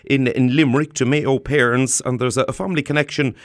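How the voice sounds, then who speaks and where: A man hosting a radio interview, speaking in a measured way with some hesitation as he puts his questions. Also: medium pitch, fairly even intonation, no echo, clean studio sound. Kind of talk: radio interview with scripted introduction.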